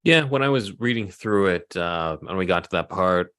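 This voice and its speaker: A man with a low voice talks in a casual group discussion. He gives his opinions tentatively, qualifying them as he goes. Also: expressive, moderate pace, a bit bright, clear, resonant tone.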